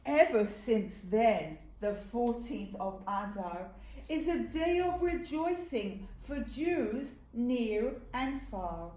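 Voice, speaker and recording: Woman telling kids a rhyming story, high voice, super slow, echoey room. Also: sing-song, warm tone. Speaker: puppet show narrator. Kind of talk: rhymed children's story narration. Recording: roomy echo.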